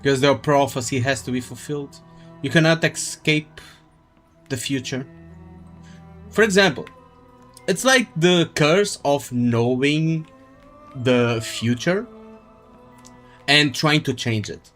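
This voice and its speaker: A male game streamer gives casual, musing commentary in a mid-range voice. He rambles at a slow pace and swears offhandedly, with faint music in the background. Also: medium pitch, a bit bright.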